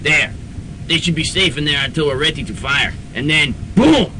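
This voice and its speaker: A man's voice: fairly high pitch, expressive, normal speed, sharp tone, a little hiss or hum, poor audio. A man playing a sly, pushy terrorist henchman in a college radio drama. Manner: sly, needling.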